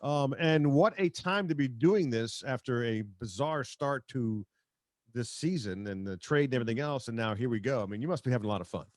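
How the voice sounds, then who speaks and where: A man's voice: mid-range voice, brisk, no room sound, studio quality. A man hosting a podcast in casual, slangy sports chat over a remote video call. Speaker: podcast host.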